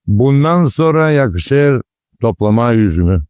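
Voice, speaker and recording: A man's voice: medium pitch, lively intonation, measured pace, a little mellow, smooth, no echo, close to an everyday voice. A man voicing a wise, folksy village grandfather in a cartoon, explaining old ways. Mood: instructive. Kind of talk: cartoon character dialogue. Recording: music behind the dialogue.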